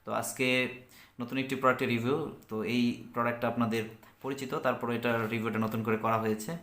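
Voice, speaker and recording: A man with a Bangladeshi accent gives an unscripted product review and sales pitch, halting and repeating himself as he searches for words. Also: flat delivery, a bit bright. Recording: echoey room.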